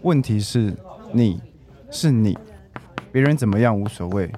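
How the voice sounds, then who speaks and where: A man playing a laid-back high school boy in a radio drama, nonchalant and matter-of-fact. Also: animated, a little slow, dark tone.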